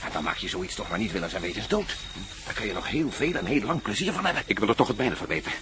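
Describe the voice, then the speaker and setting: A man's voice: fairly low pitch, big pitch swings, fast talker, fairly bright tone. A man acting a wary, wry adventurer in a Dutch radio play, with a Dutch accent. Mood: pondering. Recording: noisy old radio drama with outdoor ambience.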